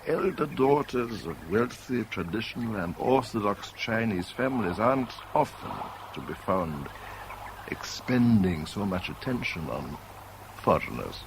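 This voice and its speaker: A worldly, calculating gentleman in a vintage radio play. The voice sits a little low, with urbane, measured phrasing and a mocking edge. There is slight noise on the old broadcast. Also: very animated. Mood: measured, pointed. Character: suave, calculating, worldly rival in love. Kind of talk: 1970s British radio drama acting.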